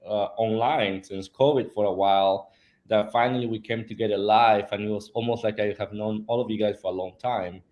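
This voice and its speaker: A man's voice: fairly low pitch, fairly even intonation, brisk, a little mellow. A man from Peru, a guest on a live-stream interview, telling his life story earnestly with fillers. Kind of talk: casual interview talk.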